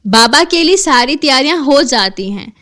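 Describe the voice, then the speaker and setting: A woman narrating an animated horror tale as a scripted storyteller. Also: sits high, normal speed.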